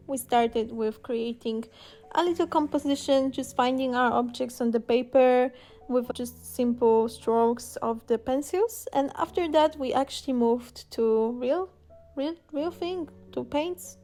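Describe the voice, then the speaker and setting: A woman's voice: sits high, a little slow, mellow. A young woman with a Polish Slavic accent, an art teacher giving a casual, chatty voice-over for a painting video. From Poland. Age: young adult.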